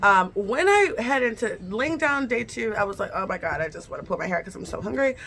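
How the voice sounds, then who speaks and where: A woman's voice: mid-range voice, animated, fairly quick, a bit bright, slight background noise. A woman vlogger casually telling a personal story, chatty and repetitive, speaking straight to her viewers. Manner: chatty, repeats phrases, addresses viewers directly.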